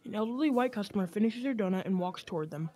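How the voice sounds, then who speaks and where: A woman's voice: mid-range voice, expressive, fast, dark tone. A woman reading scripted narration for an amateur radio drama.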